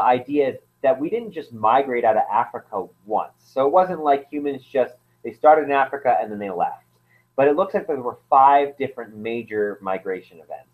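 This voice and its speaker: Man on a tinny phone line. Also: medium pitch. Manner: hesitant, many fillers and restarts. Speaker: anthropologist guest. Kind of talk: casual interview explanation.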